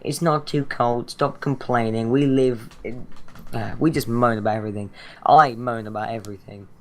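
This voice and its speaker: A teenage British boy, hoarse and croaky from a sore throat, introducing his gaming channel in a rambling, chatty way full of fillers and restarts. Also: mid-range voice, normal speed. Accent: British English. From Britain. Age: teens. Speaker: channel host.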